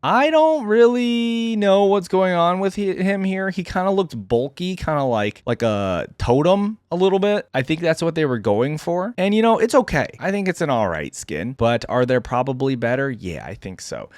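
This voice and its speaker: A man's voice: sits high, very animated, moderate pace, few pauses, a little mellow, clear, resonant tone, dry recording, clean studio sound. A man hosting a casual reaction video, thinking aloud informally and hedging his opinions as he comments. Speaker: host of a reaction channel. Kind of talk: casual reaction commentary on game character skins.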